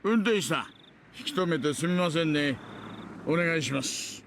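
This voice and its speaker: An actor playing an older veteran police detective in a Japanese visual novel, wry and casually polite with a drawl. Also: male voice, a little high, animated, quick pace, a bit bright, a little hiss or hum. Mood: amiable. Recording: game audio over clear insect ambience.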